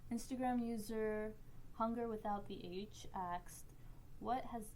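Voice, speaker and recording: A young woman co-hosts a round-table podcast in a casual, conversational style. Her voice sits at a medium pitch. There is faint room noise behind her. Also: unhurried, darkish tone, low-quality recording. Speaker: podcast co-host and writer.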